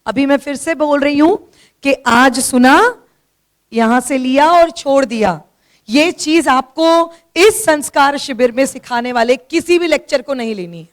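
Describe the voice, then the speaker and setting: Slow, high-voiced woman teacher, sore throat. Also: animated. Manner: firm, scolds listeners for talking. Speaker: teacher giving a talk to students. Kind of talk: classroom lecture with slides, mixing Hindi and English.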